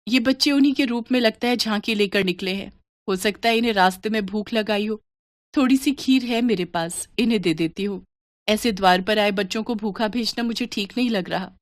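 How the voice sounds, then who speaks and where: Woman playing a soft-hearted village mom in a moral story, normal pitch, dry audio. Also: brisk, no room sound, natural voice. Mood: kind, pleading. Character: kind, humble village mother and daughter-in-law.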